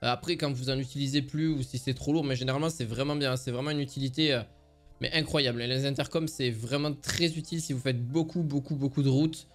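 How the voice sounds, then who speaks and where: A man's voice: mid-range voice, fairly even intonation, fast, soft background music. A man giving a casual, semi-improvised product review as a presenter, explaining things in an informal, rambling way.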